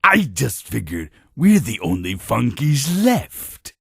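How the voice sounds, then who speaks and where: An actor playing a boastful, brawny warrior creature in a video game, blustering and menacing in a gravelly, raspy voice. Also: male voice, mid-range voice, huge pitch swings, slow, no room sound, heavily acted. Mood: gruff, suspicious. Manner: swaggering, bragging, menacing. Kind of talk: video game cutscene acting.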